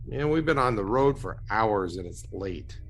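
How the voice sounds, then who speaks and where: A man's voice: lowish voice, expressive, a little slow, a bit bright. A man playing a weary, skeptical investigator with a casual, dry way of talking in an audio drama. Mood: weary, cautious.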